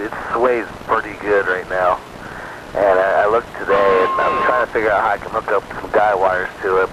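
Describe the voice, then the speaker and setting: A man with a fairly low voice and an American accent talks casually over two-way radio. Clear background noise sits under his voice, and his delivery is somewhat halting and informal. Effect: two-way radio transmission. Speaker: amateur radio operator on a group net.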